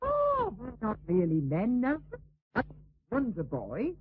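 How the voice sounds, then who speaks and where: A woman's voice: on the high side, huge pitch swings, takes it slowly, husky, narrow, tinny audio, full character voice. An actress playing a bawdy, theatrical lady, an admiral's teasing mistress, in a radio drama. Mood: mocking. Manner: flamboyant, coarse, teasing. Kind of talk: British stage-play radio acting.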